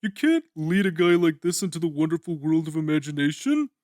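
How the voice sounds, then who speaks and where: A man's voice: fairly high pitch, big pitch swings, brisk, a little mellow, no echo, studio quality. A man voicing a dim, blunt male cartoon starfish who speaks in a plain, matter-of-fact way, in a comic fan dub.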